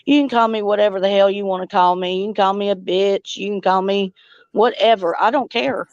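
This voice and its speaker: Woman livestream host, smooth clear voice, blunt and folksy, slightly dull audio. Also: mid-range voice, steady tone, a little fast, darkish tone, no room sound. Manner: blunt, folksy, salty-tongued. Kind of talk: casual livestream chat.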